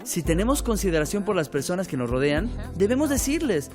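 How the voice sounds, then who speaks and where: High-voiced man telling his story, music under. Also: very animated, brisk. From Ecuador. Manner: earnest storytelling with preaching turns. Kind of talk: documentary interview testimony.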